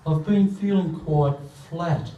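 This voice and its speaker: An actor playing a downcast, exhausted therapy patient in a staged comedy radio play. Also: male voice, on the high side, takes it slowly, lo-fi sound. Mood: weary, downcast. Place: theatre stage, picked up from afar. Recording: echoey distant stage recording, faint noise.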